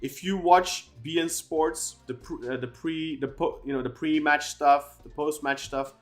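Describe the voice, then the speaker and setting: A man's voice: fairly high pitch, steady tone, unhurried, faint music behind. A man co-hosting a football podcast, talking at length in casual chat with many restarts and fillers. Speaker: podcast co-host.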